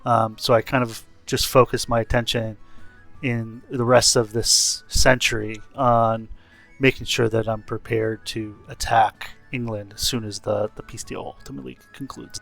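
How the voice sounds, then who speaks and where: A man's voice: medium pitch, level tone, normal speed, creaky, a bit bright. A man giving casual, explanatory commentary on his video game campaign in a rambling way. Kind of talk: casual gameplay commentary recap. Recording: faint music behind voice. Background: faint background music.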